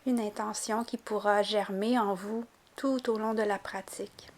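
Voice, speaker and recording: Woman doing guided yoga nidra relaxation, really slow n gentle, calm, normal pitch. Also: a little hiss or hum. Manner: calm, gentle, unhurried instruction. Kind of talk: guided relaxation meditation narration.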